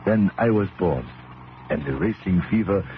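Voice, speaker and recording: A fatherly Cajun mechanic in a radio play. His voice is low, with a Louisiana French accent and a measured, reassuring, folksy way of talking. The recording is narrow and old, with faint noise. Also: lively intonation. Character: loyal, calm Cajun racing mechanic, fatherly and superstitious. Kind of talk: radio drama acting. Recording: band-limited noisy old radio recording.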